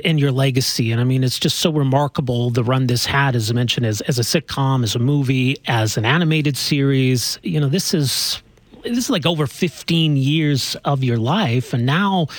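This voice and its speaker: A male podcast host asking warm, admiring interview questions and affirming his guest. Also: medium pitch, moderate pace, dry recording.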